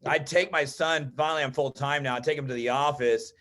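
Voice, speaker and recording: An American man from Texas tells personal stories as a guest in an online meeting, in an informal, animated way that often acts out dialogue. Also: medium pitch, fairly quick. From Texas, United States. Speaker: interview guest, real estate agent and coach.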